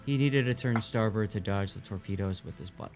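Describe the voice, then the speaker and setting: A man's voice: fairly low pitch, fairly quick, a little mellow. Man casting a game match over music. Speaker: esports commentator. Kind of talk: live video game tournament commentary, casual analysis.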